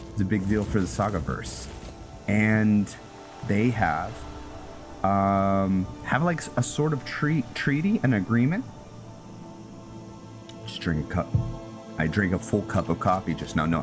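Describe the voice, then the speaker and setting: Man, deep voice, unhurried pace, casual game commentary, hesitant thinking aloud, loud music behind. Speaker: gaming video host; roleplay story writer.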